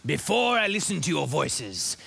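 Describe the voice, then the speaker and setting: A man's voice: fairly high pitch, very animated, normal speed, creaky, noisy background, exaggerated voice. A man voicing a jolly howler monkey who leads a choir, chuckling and singing cheerfully in a children's show. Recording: music bed behind.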